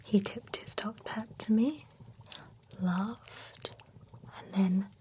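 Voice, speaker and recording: A woman whispering as a slow, soft-spoken vampire spa attendant. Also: mid-range voice, poor audio. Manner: soft, unhurried whisper throughout. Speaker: ASMR roleplay host. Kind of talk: whispered ASMR roleplay.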